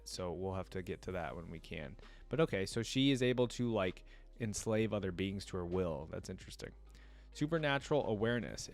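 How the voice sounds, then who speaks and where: Low-pitched man doing casual reaction commentary. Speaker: reaction video creator reading lore aloud and commenting. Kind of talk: casual reaction commentary mixed with reading aloud.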